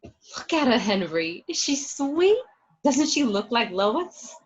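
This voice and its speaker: Woman playing husky, gushing gangster's wife. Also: on the high side, sing-song, full character voice. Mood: adoring. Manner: gushing, drawn-out. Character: gushing, doting gangster's wife cooing over baby. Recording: dull, lo-fi home recording.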